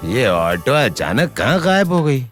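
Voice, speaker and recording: Man as a slow, insistent lovesick guy, mid pitch. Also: big pitch swings, over music. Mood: puzzled. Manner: pleading, insistent. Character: lovesick young man, desperate and pushy. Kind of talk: melodramatic web drama acting.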